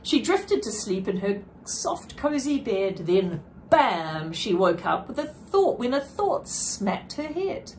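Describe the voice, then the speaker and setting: Unhurried woman reading a children's storybook aloud. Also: medium pitch, sing-song, a little mellow, a little hiss or hum. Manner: deliberate, dramatic emphasis with brief explanatory asides. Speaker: children's book reader. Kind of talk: expressive read-aloud of a rhyming picture book.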